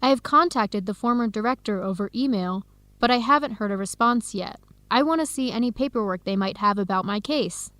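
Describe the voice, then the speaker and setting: An actress playing an earnest young American woman researcher in a radio drama, with clear, expressive, carefully enunciated speech. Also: a little high, brisk, dry recording, lightly acted. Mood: earnest, explanatory. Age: young adult. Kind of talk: scripted radio drama dialogue.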